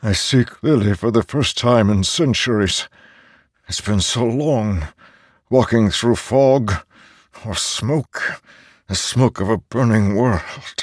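A man voicing an aged, weary immortal herald in an audiobook, with a solemn, deliberate and strained delivery. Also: fairly low pitch, no echo, studio quality, voice pushed up. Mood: anguished, grave.

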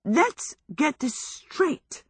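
A woman voicing a spry, skinny old woman with a brisk, tart manner in a storybook. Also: fairly high pitch, very animated, fairly bright tone, husky, dry recording, studio quality, pitched above the performer's own voice, full character voice. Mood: bewildered, questioning. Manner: brisk, exclamatory. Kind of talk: storybook character voice.

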